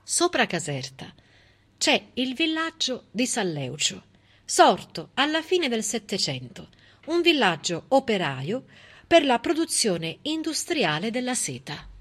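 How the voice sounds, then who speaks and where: A woman delivering a theatrical monologue in an expressive, emphatic way, with conversational asides. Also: on the high side, unhurried, breathy edge. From southern Italy. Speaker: stage actress performing a monologue. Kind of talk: theatrical civic monologue, storytelling.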